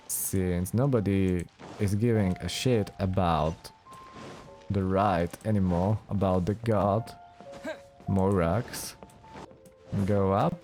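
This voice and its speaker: A man's voice: low voice, dark tone. A man doing casual let's play commentary, wry and musing aloud as he goes. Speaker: gaming let's play host. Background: video game music.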